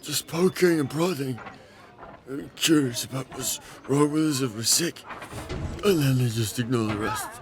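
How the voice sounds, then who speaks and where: An actor playing a sick, aching refugee man, weary and bitter, in a dark fantasy audio drama. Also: mid-range voice, normal speed, background noise, lightly acted. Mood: bitter, complaining. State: sick, coughing.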